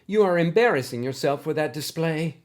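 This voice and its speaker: A man's voice: fairly high pitch, expressive, fairly quick, darkish tone, voice pushed up, lightly acted. A man voicing a stern, prim elderly woman schoolteacher who scolds in formal, precise words. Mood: stern scolding. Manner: formal, reproving, precise diction.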